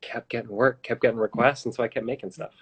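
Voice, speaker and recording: A man in his thirties from the United States, a filmmaker guest, answering interview questions in a relaxed, matter-of-fact way with ums, heard over a phone on a video call. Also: medium pitch, fast talker, slightly rough.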